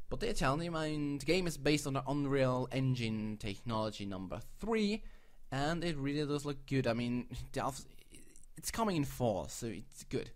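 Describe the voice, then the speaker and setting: A man from Switzerland with a Swiss accent, speaking English as a non-native host in a casual, rambling, playful commentary, often correcting himself. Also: medium pitch. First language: a language other than English. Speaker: host of a video channel. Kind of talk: casual commentary on game crowdfunding projects.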